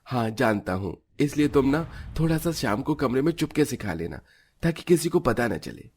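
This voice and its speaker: A man's voice: medium pitch, big pitch swings, moderate pace, a little mellow, breathy edge. A man playing a young husband in a cartoon family story, speaking in a coaxing, placating way. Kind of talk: Hindi cartoon story acting.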